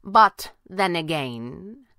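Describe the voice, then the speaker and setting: A woman acts out a short-tempered gang boss in a storybook thriller. He schemes and barks commands with emphatic, widely swinging pitch, recorded dry. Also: expressive, drawn-out words, bright, forceful tone, clear, resonant tone, no room sound, heavily acted. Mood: menacing. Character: scheming, short-tempered male villain leading a band of thieves.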